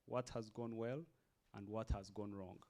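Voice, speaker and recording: A man's voice: lowish voice, steady tone, unhurried, a little mellow. A man moderating a workshop panel, thanking the speakers and putting questions to them with a few fillers.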